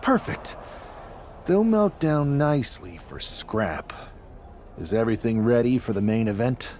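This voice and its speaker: An actor playing a smug, commanding and scheming leader of a wrestling faction in a video game scene. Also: male voice, medium pitch, very animated, measured pace, a little mellow, a little hiss or hum. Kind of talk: video game cutscene dialogue.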